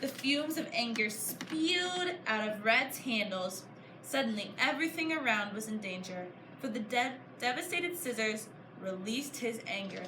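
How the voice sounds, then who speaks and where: A woman reading a storybook aloud to a class of children, pausing to put questions to them in an encouraging, teacher-like way. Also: a little high, lively intonation. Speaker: author reading her book to a class. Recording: echoey room, clear background noise. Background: children answering, room noise.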